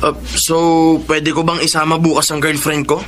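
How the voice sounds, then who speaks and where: Filipino radio drama kid brother, twenties, high and bright, boyish, pleading. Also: male voice, flat delivery, moderate pace. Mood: protesting, pleading. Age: young adult. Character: eager, aggrieved younger son of twenty-five, boyish.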